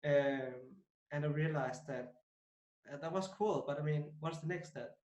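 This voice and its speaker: A man's voice: a little high, moderate pace, a little mellow, echoey room, poor audio. A man in his twenties from Denmark, talking casually as a podcast guest, hesitant with many fillers and restarts. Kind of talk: casual interview talk.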